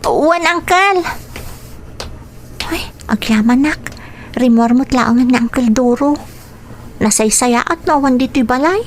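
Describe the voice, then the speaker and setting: High-voiced, dutiful, weary young girl. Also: animated, a little slow, long pauses, slight background noise. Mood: obedient. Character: dutiful, weary young girl living under her uncle's roof. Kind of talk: radio drama acting.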